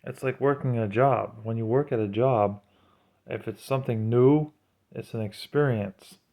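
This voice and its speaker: A man's voice: medium pitch, measured pace, a little mellow. A man delivering an informal, sermon-like monologue as a religious vlogger, speaking in an earnest, admonishing way. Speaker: vlogger giving religious commentary.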